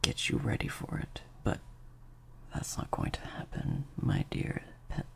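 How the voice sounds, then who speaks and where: A man playing a slightly sadistic, controlling vampire master in an intimate improvised audio roleplay, hushed and deliberate, with long pauses. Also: natural voice. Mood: stern, condescending. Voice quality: breathy, creaky half-whisper. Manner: slow, deliberate, hushed delivery with drawn-out pauses.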